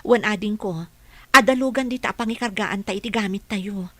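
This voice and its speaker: A woman's voice: mid-range voice, moderate pace, bright, forceful tone, dry recording. An actress playing a worried, practical working mother in a radio drama, earnest and respectful in manner. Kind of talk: Ilocano radio drama acting.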